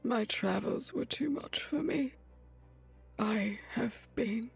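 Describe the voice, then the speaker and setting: A secretive, weary witch of dark fantasy audio drama. Her voice is very husky and creaky, often close to a whisper. She speaks slowly and haltingly, with grave weight. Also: on the high side, faint music behind, exaggerated voice. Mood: weary, pleading. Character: weary, dying foreign witch, secretive and solemn.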